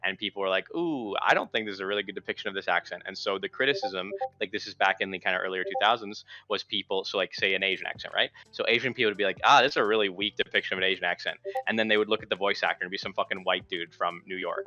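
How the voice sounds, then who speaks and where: A man's voice: deep voice, racing, few pauses, pushed and bright, dry recording. A young man in a casual online panel, rambling and swearing casually. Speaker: panel guest. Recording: dull lo-fi mic.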